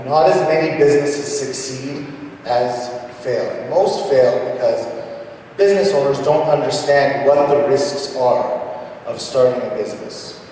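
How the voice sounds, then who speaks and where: Mellow man presenting in an echoing hall. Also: mid-range voice. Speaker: presenter, small business owner. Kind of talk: introductory business seminar talk. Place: large reverberant meeting hall. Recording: echoey hall, distant mic, noisy ambience.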